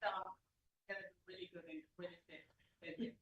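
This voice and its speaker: A man from Morocco, a student introducing himself in a halting way and searching for words. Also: sits high, sharp tone. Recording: dull roomy sound from far off the mic.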